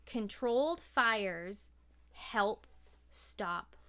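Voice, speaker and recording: A woman's voice: mid-range voice, lively intonation, very slow, fairly bright tone, clear, resonant tone. A woman chatting casually and giving her opinions as a podcast guest, informal and free with swearing.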